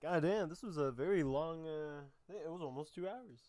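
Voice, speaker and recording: A man's voice: on the high side, normal speed, darkish tone, a little hiss or hum. A man reacting casually while playing a video game, as a streamer would. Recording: game music behind the voice.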